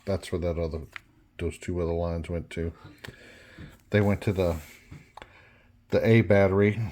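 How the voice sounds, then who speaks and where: A man giving an unscripted workbench walkthrough as a hobbyist restorer, halting and thinking aloud as he goes. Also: on the low side, a little mellow. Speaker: hobbyist restorer presenting a repair.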